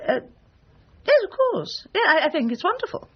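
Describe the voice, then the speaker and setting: A woman's voice: high voice, big pitch swings, fairly quick. An actress playing a genteel, scatty, disapproving upper-class English mother in a period radio drama, middle-aged, airy and fussy. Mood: airy, bright. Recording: dull lo-fi radio copy.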